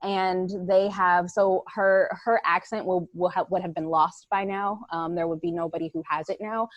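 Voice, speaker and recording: A woman actor and playwright chatting as a guest on a video call, laughing often and filling pauses with ums. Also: lowish voice, fairly even intonation, dry recording, highs cut off. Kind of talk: casual video-call conversation.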